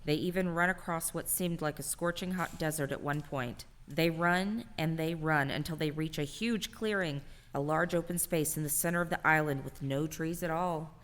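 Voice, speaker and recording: A woman narrating a scripted radio drama as a storyteller, deliberate and dramatic. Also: low-pitched. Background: music.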